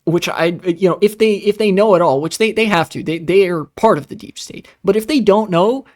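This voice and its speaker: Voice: mid-range voice, fairly quick, darkish tone. A political streamer giving casual commentary in a ranting, profane, sarcastic way. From United States.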